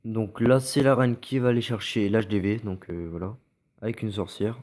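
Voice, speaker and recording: French man, low mellow voice, congested, halting casual game commentary. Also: fairly even intonation. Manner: hesitant, many fillers and restarts. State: stuffy from a cold. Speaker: gameplay commentator. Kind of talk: casual gameplay commentary over recorded matches.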